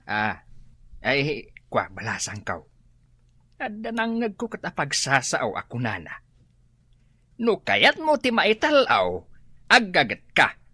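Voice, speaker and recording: An actress playing a teasing office coworker in a radio comedy drama. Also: female voice, low voice, huge pitch swings, slow, long pauses, pushed and bright, slightly rough, dry recording, heavily acted. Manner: teasing, lecturing.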